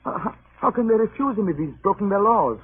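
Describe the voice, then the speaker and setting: Harried sea captain from vintage radio. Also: male voice, high-pitched, lively intonation, fast, slightly rough, heavily acted. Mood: desperate, indignant. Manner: stammering, imploring, theatrically anguished. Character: earnest, harried sea captain, desperate and indignant. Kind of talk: 1930s radio drama acting with narration. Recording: scratchy, tinny 1930s radio recording.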